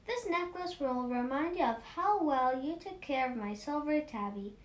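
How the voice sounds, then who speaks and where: A woman's voice: animated, moderate pace. A woman voicing a friendly, gracious neighbor in a storybook read-aloud. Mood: grateful. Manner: welcoming, gracious. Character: friendly, grateful woman neighbor who owns a cat. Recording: roomy echo, faint rumbling noise.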